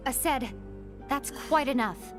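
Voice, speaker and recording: An actress playing a composed, dutiful second-in-command who speaks calmly, formally and precisely in a game cutscene. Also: female voice, high voice, takes it slowly, over music. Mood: stern. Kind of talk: dramatic game cutscene acting.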